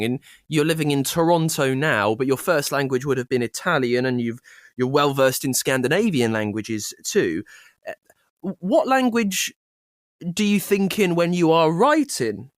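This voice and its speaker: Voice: medium pitch, no room sound. Podcast host with a dry, close sound. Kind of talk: interview questions.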